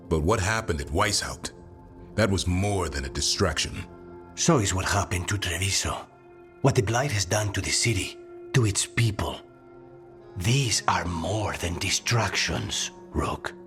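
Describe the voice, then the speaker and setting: A man's voice: sits low, lively intonation, a little slow, a bit bright, soft background music. An actor playing a serious, sombre male companion in a fantasy video game, speaking with intensity. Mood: grim, insistent. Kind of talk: video game dialogue acting.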